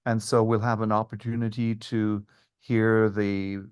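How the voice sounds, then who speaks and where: A man speaking as a tour guide and historian, giving informative, measured answers in an interview. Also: lowish voice, little pitch movement, darkish tone, smooth, dull audio.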